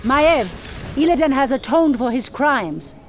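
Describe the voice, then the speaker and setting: An actress playing a valiant elf warrior priestess in a fantasy game, with a formal, theatrical delivery. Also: female voice, high-pitched, animated, unhurried, soft background music. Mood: gentle. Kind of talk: dramatic fantasy game acting.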